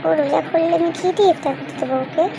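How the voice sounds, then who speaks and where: Woman playing a high-voiced worried cartoon mother. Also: rapid-fire. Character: worried mother of a poor village household. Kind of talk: cartoon dialogue. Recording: clearly noisy background.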